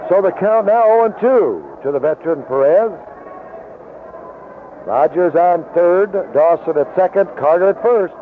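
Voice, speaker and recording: A man calling baseball play-by-play for radio in clipped, descriptive phrases with pauses between plays. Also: high-pitched, animated. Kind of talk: live sports commentary. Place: outdoor ballpark broadcast booth. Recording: noisy narrow-band 1970s AM radio broadcast.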